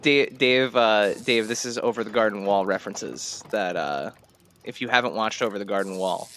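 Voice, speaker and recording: A man co-hosting a podcast in casual chat, speaking haltingly and often repeating his words. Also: lowish voice, fairly bright tone, slight background noise. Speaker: podcast co-host.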